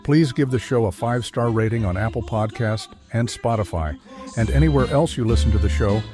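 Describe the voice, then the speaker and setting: A man's voice: medium pitch, brisk, mellow. A man reading a scripted disclaimer as a podcast announcer. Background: faint music.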